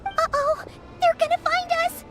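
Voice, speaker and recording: A woman voicing a tiny, childlike, excitable companion creature in a video game, with a squeaky, expressive delivery. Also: a little slow, music in the background. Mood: alarmed. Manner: exclamatory, expressive.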